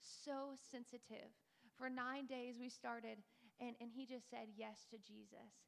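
A woman's voice: a little high, flat delivery, a little slow. A young woman in her twenties giving a fervent preaching testimony on a church panel. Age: 20s. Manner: fervent, breathy preaching, mimics tongues. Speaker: panel speaker.

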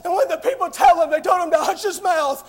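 A man's voice: very high voice, fairly even intonation, fast talker. A man preaching an impassioned revival sermon, crying out with frequent "oh" interjections.

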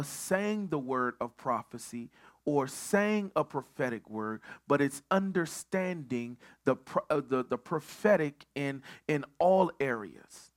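Slow, deliberate preaching pastor. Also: male voice, a little high, fairly bright tone. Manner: preaching with repeated phrases and stammered restarts. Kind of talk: midweek Bible study sermon.

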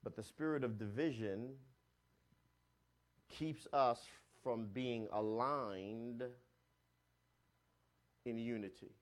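A man pastor preaches at an even middle pitch. His delivery surges loud and high on key points, then sinks into quiet, low asides. He restarts and repeats phrases as he goes. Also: slow pace, pauses often. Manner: swells louder on key points and drops low and soft on asides. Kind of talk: sermon.

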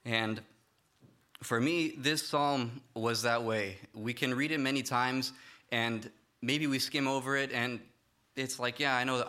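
Young guy preaching in church, halting, lots of ums, smooth clear voice. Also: mid-range voice, sharp tone. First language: Romanian not first language. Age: young adult. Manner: hesitant, halting with many fillers and restarts. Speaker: lay preacher. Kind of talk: sermon.

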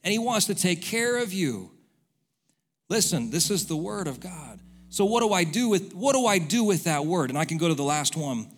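A man's voice: sits high, very animated, normal speed. A man preaching a sermon as a pastor, in an emphatic, repetitive, conversational way with rhetorical questions. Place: large hall, church service. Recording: echoey hall reverb with music behind.

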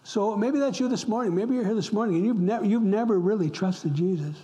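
A man's voice: high voice, a little fast, mellow. A middle-aged man from upstate New York preaching a sermon as pastor, impassioned, repeating words for emphasis and dropping to a whisper at times. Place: church.

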